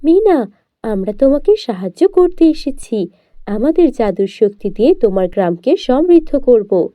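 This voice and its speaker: A kind fairy helper, played by a woman for a cartoon fairy tale. The voice is high, lively and dry, earnest and reassuring. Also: unhurried, dry recording, narrow, tinny audio. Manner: reassuring, cautioning.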